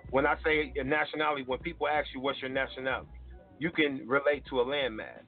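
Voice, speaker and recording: A man's voice: medium pitch, quick pace. A man debating off the cuff in an emphatic way, repeating words and restarting his phrases as he presses a point. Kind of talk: unscripted debate. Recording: tinny phone-like line, faint music behind.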